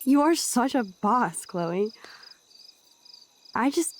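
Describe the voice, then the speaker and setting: A young American woman playing an earnest, cautious teenage girl in a video game, speaking softly. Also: on the high side, animated, slow pace, poor audio. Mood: earnest, reassuring. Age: young adult.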